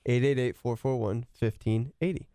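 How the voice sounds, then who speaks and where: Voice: medium pitch, fairly even intonation, moderate pace, warm tone, smooth, no room sound, studio quality. A radio co-host in casual talk, joking and reading out the call-in number. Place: radio studio.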